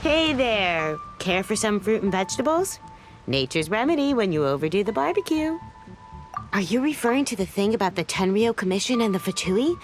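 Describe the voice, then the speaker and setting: An actress playing a friendly, gossipy, war-weary produce seller in a video game, chatty and expressive. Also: female voice, medium pitch, moderate pace, soft background music, natural voice. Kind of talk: video game character acting.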